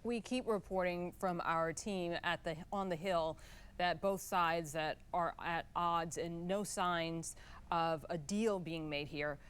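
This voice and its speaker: A woman's voice: lowish voice, fairly even intonation, slow, a bit bright, clean tone. An American woman working as a TV news anchor, reading introductions and putting questions in a broadcast interview style.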